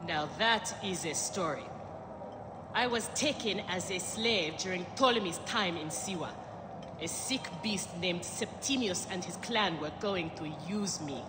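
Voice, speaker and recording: A woman's voice: on the low side, a little slow, natural voice. A woman playing a brash, boastful female gladiator with a swaggering, exuberant manner. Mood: dark, defiant. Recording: reverberant hall, noisy ambience behind.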